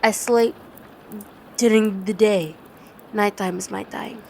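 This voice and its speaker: Woman performing a dominant vampire girlfriend, ASMR roleplay, soothing, halting, unhurried speech, mid-range pitch, noisy ambient recording. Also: fairly even intonation, a little mellow. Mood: tender, soothing. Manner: slow, halting, soothing delivery with repeated words. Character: dominant female vampire girlfriend, tender, reading gothic poetry at bedtime. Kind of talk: ASMR roleplay and bedtime poetry reading. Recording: clearly noisy ambient background.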